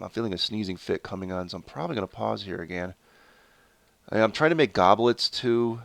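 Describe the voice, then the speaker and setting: A man's voice: on the low side, gravelly, clean tone, dry recording. A man with a stuffed-up head cold and a nasal voice, giving rambling, casual let's-play commentary full of fillers and self-corrections. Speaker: gameplay commentator.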